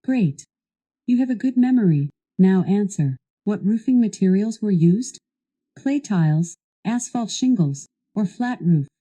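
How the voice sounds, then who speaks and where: Very mellow, dark-voiced female assistant. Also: mid-range voice, lively intonation, a little slow, low-quality recording. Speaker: voice assistant guiding a product demo. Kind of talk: scripted instructional narration.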